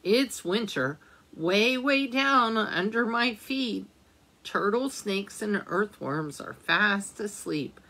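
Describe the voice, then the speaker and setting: Slow, gravelly woman reading to children. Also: mid-range voice, expressive. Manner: slow, gentle read-aloud with questions to children. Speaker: children's librarian. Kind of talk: reading a picture book aloud for storytime.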